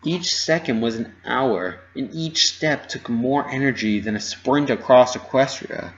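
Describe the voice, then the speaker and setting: A man narrating a first-person fan fiction story with an expressive, dramatic delivery. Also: medium pitch, a little slow, highs cut off.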